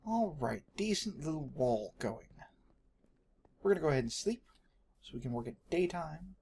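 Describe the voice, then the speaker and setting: A man's voice: fairly high pitch, big pitch swings, moderate pace, gravelly, a little mellow. Texan man rambling over gameplay, faint noise. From Texas, United States. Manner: jokey, self-deprecating, talks to himself while playing. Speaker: gaming video host. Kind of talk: casual rambling gameplay commentary. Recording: home recording with faint background noise.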